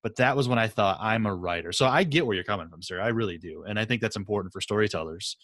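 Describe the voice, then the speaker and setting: A man's voice: on the low side, fast talker, fairly bright tone, no echo, studio quality. A man hosting a podcast, chatting casually and telling anecdotes with emphatic, repeated phrases. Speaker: podcast host, interviewer.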